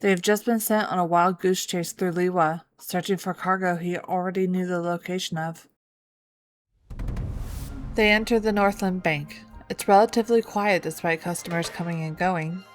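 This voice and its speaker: A woman's voice: lowish voice, steady tone, normal speed. A woman reading scripted narration of a video game story as a storyteller. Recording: voice-over with music bed. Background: music.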